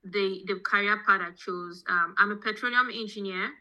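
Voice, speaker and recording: A woman's voice: mid-range voice, moderate pace, bright, forceful tone, low-quality recording. A middle-aged woman with a Nigerian accent, answering interview questions conversationally as an academic guest. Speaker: podcast guest, petroleum engineering professor. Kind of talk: conversational interview answers, telling own background.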